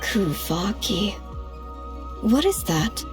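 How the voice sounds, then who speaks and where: A woman voicing a composed, solemn goddess in an anime game, speaking in a measured, formal way. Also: mid-range voice, sing-song, music in the background. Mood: thoughtful. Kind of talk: anime game cutscene acting.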